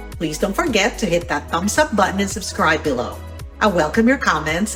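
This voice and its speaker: A woman's voice: mid-range voice, fairly bright tone. A woman hosting an interview podcast, introducing her guest and reacting with enthusiasm. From immigrant. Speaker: podcast host.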